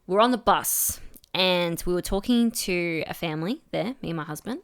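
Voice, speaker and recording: A woman's voice: on the low side, lively intonation, normal speed. A young woman with an Australian accent, co-hosting a podcast in casual, playful chat full of jokey asides. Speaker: podcast co-host.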